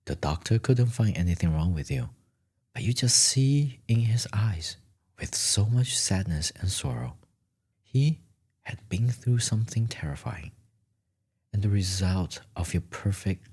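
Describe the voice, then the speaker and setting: A man with a very low voice narrates a relaxing second-person story in an ASMR style. His tone is husky and breathy, sometimes sinking almost into a whisper. He phrases things gently and deliberately, leaving pauses between short phrases.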